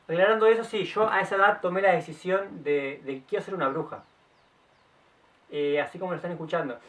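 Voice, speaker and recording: A man's voice: on the high side, fairly bright tone, recorded from a distance. A young Uruguayan man telling a story to camera in casual, stop-start speech, often restarting his words. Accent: Uruguayan Spanish. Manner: chatty, stop-start, self-correcting. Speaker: vlogger. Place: outdoors in the late afternoon.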